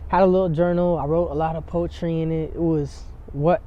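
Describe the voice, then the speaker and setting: Laid-back young rapper, muffled outdoor audio. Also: male voice, a little high, fairly even intonation, a little fast. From San Diego, USA. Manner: laid-back, rambling, heavy on filler words. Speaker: rapper guest. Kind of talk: casual interview chat.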